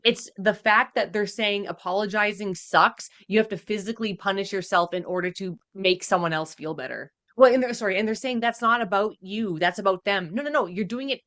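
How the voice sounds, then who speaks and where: A woman's voice: mid-range voice, brisk, few pauses, fairly bright tone, no echo. Casting: female podcast co-host, mid-range voice, casual, blunt, emphatic commentary. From Canada.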